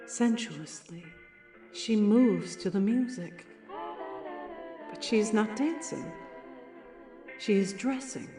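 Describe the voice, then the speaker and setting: A woman narrator played by an actress unfolds stage directions for an audio drama. She is very slow and sensuous, pausing after short phrases. The sound is echoey, with prominent music underneath. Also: medium pitch, natural voice. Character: sensuous, observant female voice-over narrator of a stage play. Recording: echoey, with loud music behind.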